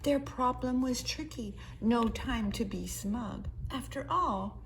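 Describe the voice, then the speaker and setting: A woman reading a rhyming children's story aloud with measured, expressive storytelling. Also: a little high, a little hiss or hum. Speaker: storybook reader.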